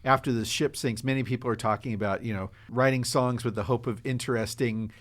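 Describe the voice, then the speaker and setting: A man's voice: mid-range voice, fairly even intonation, fairly quick. A man talking casually as a panelist in a podcast discussion. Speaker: podcast panelist from a show's production team.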